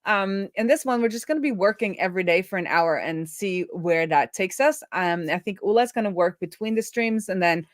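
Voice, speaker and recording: A woman hosts a casual live coding stream, thinking aloud in an informal way. Her voice sits at a middling pitch, and the sound is dry, with very little room in it. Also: animated, a little fast, few pauses, no room sound. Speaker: live stream host, web developer.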